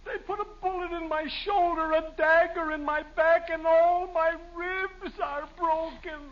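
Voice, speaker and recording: A man's voice: extremely high pitch, steady tone, measured pace. A man playing a pitiful, melodramatic dying victim in a 1940s radio comedy, groaning in pain. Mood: agonized, feeble. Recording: muffled vintage radio recording.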